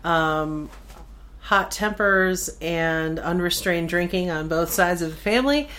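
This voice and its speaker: A woman's voice: fairly low pitch, slow, a bit bright, a little hiss or hum. A woman in her forties, originally from Venezuela, chatting as a podcast guest in an easygoing, good-humored way. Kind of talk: casual conversation.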